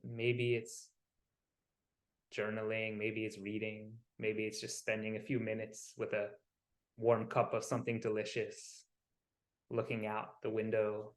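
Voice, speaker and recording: A man's voice: lowish voice, completely flat delivery. Man guiding a meditation in an echoey room. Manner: soothing, unhurried, with pauses between short phrases. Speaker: wellness coach leading guided visualization. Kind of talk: guided meditation. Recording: roomy, echoing sound.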